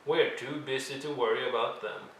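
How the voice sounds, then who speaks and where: A man's voice: medium pitch, steady tone, normal speed, fairly bright tone, natural voice. A man voicing a plucky, earnest young girl inventor in a storybook, speaking simply. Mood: determined. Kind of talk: storybook character dialogue. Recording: roomy echo, faint background noise.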